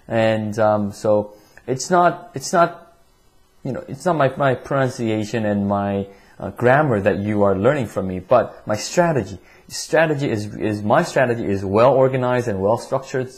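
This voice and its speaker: Man, Korean native speaker, lower voice, test-prep teacher, emphatic, stumbling repeats. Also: a little mellow. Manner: many restarts and repeated words, emphatic. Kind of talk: online lecture.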